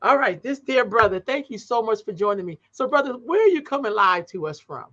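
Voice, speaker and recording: A woman's voice: fairly high pitch, sing-song, a little fast, sharp tone. A woman, hosting a casual conversational interview over an online call. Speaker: interview host. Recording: dull, lo-fi online call audio.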